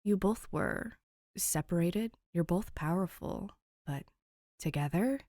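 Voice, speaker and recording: Woman doing quiet cryptic young guy from an audio fanfic, slow, super dry recording. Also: on the low side, no echo. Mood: quiet, knowing. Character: knowing, soft-spoken young man, a cryptic brother.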